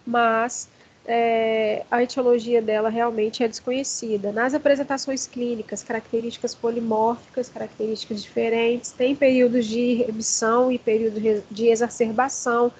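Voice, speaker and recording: A hoarse woman with a Brazilian accent, giving an online lecture with many fillers and restarts. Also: on the high side, darkish tone, lo-fi sound, slight background noise. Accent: Brazilian Portuguese. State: hoarse from allergy.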